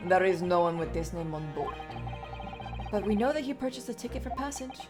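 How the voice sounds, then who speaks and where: A woman voicing a gruff, stubborn male sailor in a mock Russian accent, speaking blunt, broken English. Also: fairly low pitch, animated, fairly quick, voice pushed down, close to an everyday voice. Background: game music.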